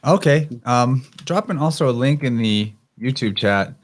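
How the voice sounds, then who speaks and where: A man's voice: medium pitch, animated, moderate pace. Male livestream host, mid register, loose conversational delivery. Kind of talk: casual conversational hosting.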